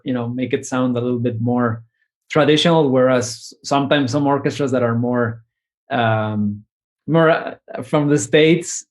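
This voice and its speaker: A man, a composer talking through his ideas in a relaxed interview, often restarting phrases and ending with a questioning "no?". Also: mid-range voice. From Mexico. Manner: thoughtful, many restarts and tag questions. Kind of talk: conversational interview.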